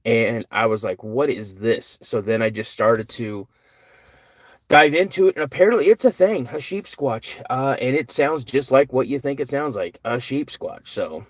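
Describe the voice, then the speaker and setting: A man's voice: medium pitch, moderate pace, no echo. An older man hosting a live podcast, reading and answering chat questions in a rambling, earnest, self-correcting way. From United States. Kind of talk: casual live-stream chat.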